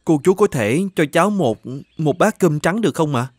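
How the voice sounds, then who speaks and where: A man voicing a poor, polite young student in an animated moral tale, hesitant and deferential as he talks. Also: fairly high pitch, normal speed, no echo, clean studio sound. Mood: shy, polite.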